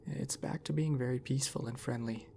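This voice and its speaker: Guy doing asmr story narration, gravelly fry, unhurried, bit of noise behind him. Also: medium pitch, fairly even intonation, dark tone.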